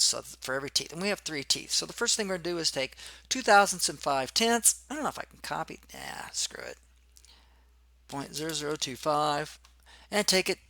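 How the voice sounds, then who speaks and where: A hoarse man teaching as a machining instructor in a casual, rambling screen-recorded tutorial full of fillers. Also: on the high side, pushed and bright, dry recording.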